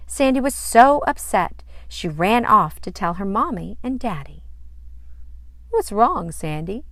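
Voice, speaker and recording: A woman narrating a children's storybook in an expressive read-aloud style. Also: medium pitch, measured pace, no echo, clean studio sound.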